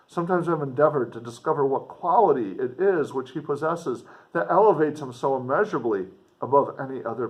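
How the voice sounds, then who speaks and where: A man's voice: mid-range voice, poor audio. Man reading a classic aloud, emphatic. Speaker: teacher reading a novel aloud with commentary. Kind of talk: expressive literary read-aloud with teaching asides.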